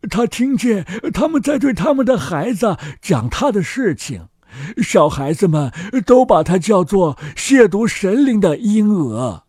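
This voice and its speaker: A man giving an expressive scripted narration of a fairy tale. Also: high voice, measured pace, slightly rough, no room sound, clean studio sound.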